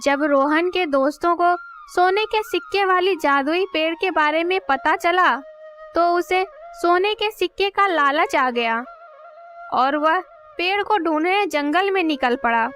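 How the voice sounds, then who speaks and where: A woman's voice: very high-pitched, unhurried, fairly bright tone. A woman narrating a scripted children's story as a storyteller. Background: music.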